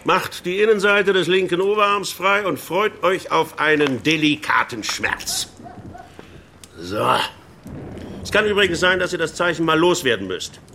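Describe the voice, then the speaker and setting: Ein Schauspieler als forscher, sardonischer SS-Offizier und Arzt, der im Hörspiel trocken spottend Rekruten herumkommandiert. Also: male voice, high-pitched, lively intonation, unhurried, bright, forceful tone, slight background noise, full character voice. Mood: sardonic, brisk. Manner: commanding, drily mocking. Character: brisk, sardonic SS officer doctor addressing recruits. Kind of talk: German radio drama acting.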